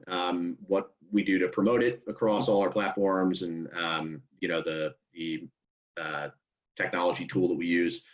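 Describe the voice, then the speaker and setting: A man giving an informal work update on a conference call, speaking in a halting, hedging way. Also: low-pitched. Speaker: association staffer giving a report. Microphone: conference call line. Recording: muffled conference call audio.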